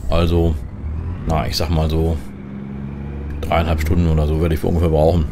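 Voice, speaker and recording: A man from Germany giving relaxed, conversational commentary in character while playing a truck driving game. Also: very deep voice, moderate pace. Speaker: gaming channel host. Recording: clear engine and vehicle noise behind. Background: truck simulator game sound.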